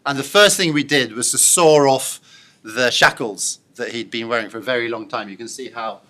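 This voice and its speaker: A middle-aged man giving a thoughtful public lecture, pausing often with fillers and restarts. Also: medium pitch, bright, forceful tone. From born and raised in Congo. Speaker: professor giving an inaugural lecture. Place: lecture hall.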